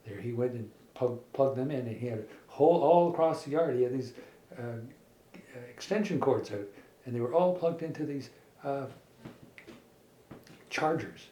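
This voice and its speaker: A middle-aged man telling a made-up children's story in a halting, folksy way, repeating words as he goes. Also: mid-range voice, lively intonation, mellow. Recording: echoey room with faint background noise.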